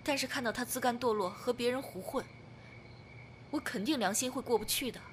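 A woman playing a steady, protective young partner with a firm temper, speaking earnestly in a modern Chinese audio drama. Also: fairly high pitch, fairly quick, slight background noise, natural voice. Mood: worried.

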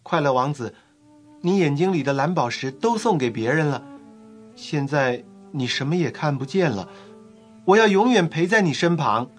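A male actor playing a small, kindhearted, loyal swallow in a fairy-tale drama, speaking in an earnest, soft-hearted way. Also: on the high side, lively intonation, normal speed, natural voice. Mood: devoted, willing. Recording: faint music bed. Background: faint music.

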